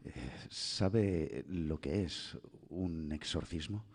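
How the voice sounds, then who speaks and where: An actor with a Castilian Spanish accent playing a cautious, apologetic doctor who explains haltingly, in a radio drama. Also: male voice, low voice, noisy background, full character voice. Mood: uneasy, cautious. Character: hesitant, apologetic male doctor.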